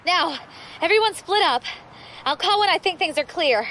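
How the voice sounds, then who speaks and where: A woman's voice: very high-pitched, lively intonation, moderate pace, pushed and bright, a little hiss or hum, full character voice. An actress playing a brash, bossy young female space pirate in an audio drama. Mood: scolding. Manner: scolding, blunt.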